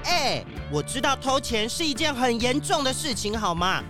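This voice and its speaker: A woman's voice: on the high side, very animated, normal speed, loud music behind the voice. A woman playing a blunt, cheeky, scornful animal schoolkid in a children's radio drama. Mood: scornful, exasperated.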